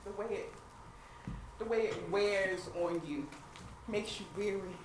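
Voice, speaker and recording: A woman's voice: mid-range voice, slow pace, natural voice. A woman on stage playing a weary, sardonic woman struggling with infertility, speaking to the audience in a live play. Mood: weary, anguished. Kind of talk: live stage play monologue and comic banter. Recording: echoey theatre from far off, noisy audience.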